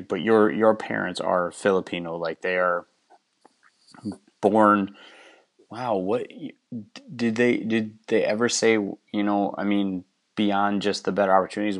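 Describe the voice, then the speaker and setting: A man from Wisconsin, hosting a relaxed interview and asking curious, reflective questions. His voice is very deep and low. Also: measured pace. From Wisconsin, United States. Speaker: interview host, teacher. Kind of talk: casual conversational interview.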